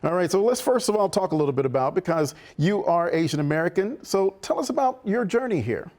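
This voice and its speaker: A male TV host with a slightly high voice asks questions in a polished, friendly way. He speaks like a seasoned broadcaster. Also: lively intonation, fairly quick, darkish tone. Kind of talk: TV interview. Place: TV studio.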